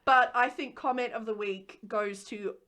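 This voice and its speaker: An Australian woman co-hosting a podcast in casual chat, with an animated, expressive manner. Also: fairly high pitch, a little slow. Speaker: podcast co-host.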